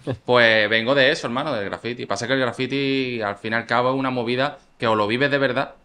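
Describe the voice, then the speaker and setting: A man's voice: mid-range voice, fairly even intonation, fast talker, pushed and bright, smooth. A man in his thirties with an Andalusian Málaga accent, chatting casually and telling stories in slangy, emphatic bursts on a podcast. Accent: Andalusian Spanish (Málaga). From Málaga, Spain. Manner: slangy, emphatic, addresses listeners as bro. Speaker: podcast guest, rapper and streamer. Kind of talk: casual chat, storytelling. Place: small indoor podcast studio. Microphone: podcast microphone on table.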